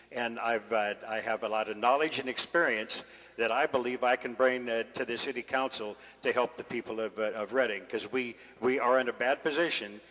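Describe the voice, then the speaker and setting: Older male candidate, American accent, medium pitch, bright tone, plain-spoken campaign talk, roomy reverb. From Northern California, United States. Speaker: city council candidate. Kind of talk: informal campaign speech. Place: indoor meeting room. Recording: echoey meeting room, long reverb.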